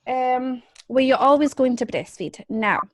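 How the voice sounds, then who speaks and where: A woman's voice: sits high, lively intonation, unhurried, dry recording, clean studio sound. A woman, hosting a casual group chat with other young mothers and talking emphatically. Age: 20s. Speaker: host.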